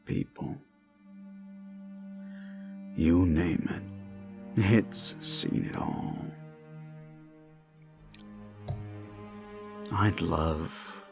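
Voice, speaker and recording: A man playing a cruel, menacing slasher killer in a horror audio roleplay, taunting the listener with a gravelly voice and drawn-out lines that often sink into whispers. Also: a bass voice, heavily acted. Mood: menacing, savoring. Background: music.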